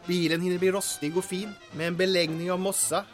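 A man playing a droll, wisecracking henchman in a radio drama, with a dry, deadpan delivery. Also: high-pitched, faint music behind, natural voice. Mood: dryly scheming. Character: wisecracking, dryly absurd henchman sidekick.

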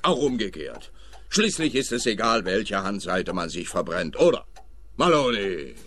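A man's voice: on the low side, very animated, normal speed, a bit bright, a little hiss or hum. A man with a Swiss German accent playing a self-important, muddled police officer in a radio play. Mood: pompous. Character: dim, pompous male police officer.

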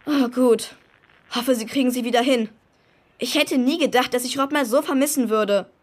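A woman's voice: on the high side, normal speed, slight background noise, natural voice. An actress playing a cheeky, blunt young superhero boy in a children's radio play. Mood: matter-of-fact.

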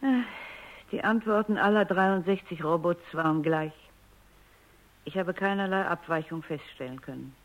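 A woman's voice: fairly low pitch, normal speed, close to an everyday voice. An actress playing a stern, exacting middle-aged woman robot psychologist in a radio drama, speaking precisely and insistently. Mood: insistent, worried. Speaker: lead actor and first-person narrator. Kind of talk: radio drama acting and narration. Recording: dull, band-limited radio recording.

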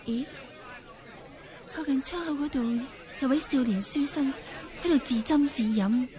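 Casting: young Cantonese swordswoman, high voice, polite and spirited, radio drama delivery. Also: unhurried, dark tone, a faint crowd. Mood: curious, musing.

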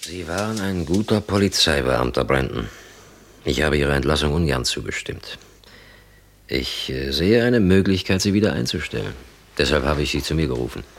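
An actor playing an authoritative, calculating police chief in a 1960s German radio drama, speaking in a formal, measured official manner. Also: male voice, very deep voice, animated, exaggerated voice. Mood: formal, persuasive.

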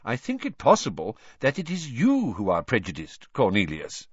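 Old male headmaster, played by a man, calm, courteous, measured, unruffled, audiobook character. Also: mid-range voice, slightly rough, no room sound, lo-fi sound.

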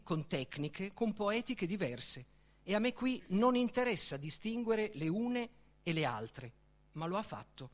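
Slow, high-pitched, expressive male literary reader. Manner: solemn, theatrical, deliberate phrasing with dramatic pauses. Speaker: author reading from their own book. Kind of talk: expressive literary reading aloud.

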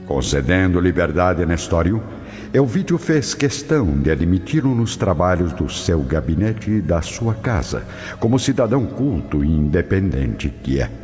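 A man's voice: low-pitched, darkish tone. A man narrating a radio drama in a solemn, theatrical style. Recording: long reverb, dull audio, music behind.

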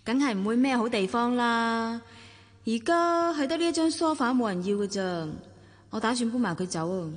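An actress playing a composed, dutiful young woman losing her home, speaking polite, measured Hong Kong Cantonese in a radio drama. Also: fairly high pitch, expressive. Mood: resigned, wry.

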